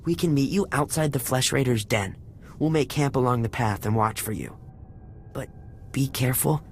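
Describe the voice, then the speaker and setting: An actor playing an earnest, frightened young male villager held captive in a video game, speaking in a halting, pleading way. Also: medium pitch, darkish tone, slight background noise, lightly acted. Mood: worried. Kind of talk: video game character acting. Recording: game music behind voice.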